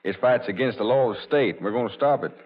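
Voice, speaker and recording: A man's voice: mid-range voice, fast talker, lightly acted. An actor playing a firm, no-nonsense frontier lawman in an old radio drama. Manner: firm, businesslike. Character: terse, no-nonsense Texas Ranger lawman. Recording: tinny, narrow vintage radio recording.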